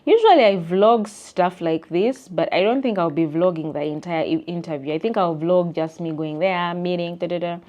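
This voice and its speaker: Young Ugandan woman vlogger, slightly low voice, animated and ranting, does squeaky impressions. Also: moderate pace, hardly any pauses, lo-fi sound. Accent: Ugandan English. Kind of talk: casual chat rant to camera.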